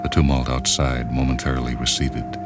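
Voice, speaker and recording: A man narrating a literary short story for radio in a measured, solemn manner. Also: a bass voice, flat delivery. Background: orchestral music.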